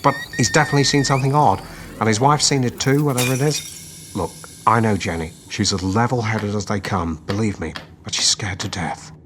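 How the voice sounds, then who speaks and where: A man's voice: fairly low pitch, brisk, fairly bright tone, slight background noise, close to an everyday voice. Man as matey, plain-spoken army sergeant. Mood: earnest. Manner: informal, plain-spoken, earnest. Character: loyal, matey, level-headed army sergeant. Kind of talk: audio drama acting.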